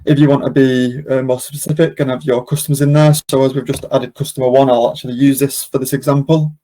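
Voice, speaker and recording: A man hosting a webinar, casually talking through a software demonstration step by step without a script. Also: mid-range voice, level tone, fast talker. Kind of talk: unscripted software walkthrough.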